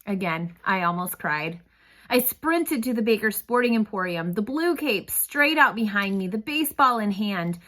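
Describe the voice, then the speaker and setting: A woman narrating a storybook read-aloud with expressive, dramatic phrasing. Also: medium pitch, normal speed.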